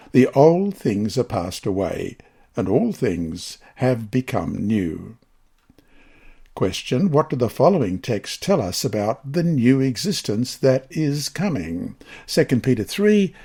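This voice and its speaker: A man from Australia reading a scripted Bible lesson and reciting scripture in a measured, solemn, deliberate manner. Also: mid-range voice, dark tone, husky.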